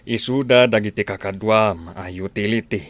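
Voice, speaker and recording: A man playing a genial, deliberate office boss in a comedy radio drama, drawling with hums and pauses. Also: on the low side, dry recording, natural voice.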